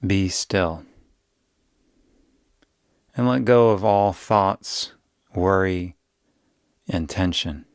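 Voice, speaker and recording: Deep, very slow man guiding meditation. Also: dry recording, studio quality. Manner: soothing, unhurried, gentle instruction with long pauses. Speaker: yoga nidra guide. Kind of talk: guided meditation.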